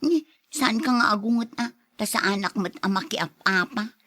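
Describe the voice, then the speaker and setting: Husky, animated Ilocano gossip. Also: female voice, medium pitch, moderate pace, no room sound, clean studio sound. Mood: prying. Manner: prying, needling. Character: nosy gossiping female acquaintance, prying and critical. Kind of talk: Ilocano radio drama acting.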